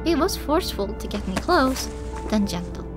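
A woman reads a first-person story as an audiobook narrator. Her voice sits fairly high, and music plays clearly behind her. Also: big pitch swings, normal speed.

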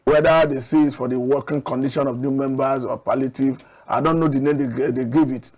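A middle-aged man from Nigeria, a studio guest analyst, making measured, argumentative points with occasional restarts, on a lapel mic. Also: mid-range voice, steady tone, a little mellow. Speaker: public affairs analyst guest. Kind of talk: studio TV discussion. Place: TV studio set.

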